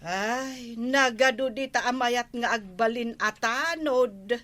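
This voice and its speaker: A woman's voice: on the high side, slow, a bit bright. A female actor with an Ilocano accent playing a nosy, nagging village woman full of questions in a radio comedy drama. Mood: exasperated, suggesting. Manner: questioning, exclamatory.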